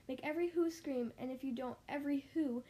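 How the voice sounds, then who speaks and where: A woman's voice: high voice, moderate pace, dark tone, close to an everyday voice. A woman voicing a kindly, loyal male elephant who speaks earnestly and emphatically in storybook rhyme. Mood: alarmed, urgent. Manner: earnest, urgent, pleading, emphatic.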